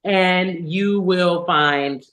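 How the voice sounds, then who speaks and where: A woman's voice: lowish voice, extremely slow, a bit bright. A young adult woman, a singer and podcast guest, talking candidly and animatedly about her life in a casual interview. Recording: echoey room.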